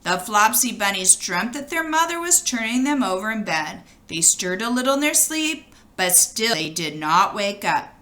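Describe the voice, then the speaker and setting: Animated, bright-voiced woman reading a storybook. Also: medium pitch, moderate pace. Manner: animated, with brief asides to young listeners. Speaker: storybook reader. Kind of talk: expressive read-aloud of a children's storybook.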